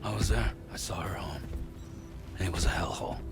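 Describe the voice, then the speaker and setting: An actor playing a weary, gruff male courier who speaks low, quiet and firm in a game cutscene. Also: fairly quick, lightly acted. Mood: grim. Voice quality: gravelly, low and hushed. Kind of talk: video game cutscene acting. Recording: voice over loud score music.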